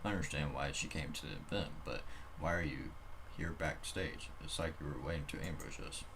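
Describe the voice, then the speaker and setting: A man's voice: very deep voice, brisk, vocal fry, noisy background. A man playing an earnest young male idol manager from a visual novel, plain and inquisitive. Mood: puzzled.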